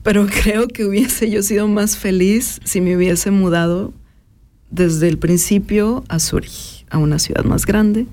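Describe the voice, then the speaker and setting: Thoughtful woman with mellow voice. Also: mid-range voice, a little slow. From Mexico. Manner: thoughtful, hesitant, many filler sounds. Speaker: interview guest, artist and educator. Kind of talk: personal reflective conversation.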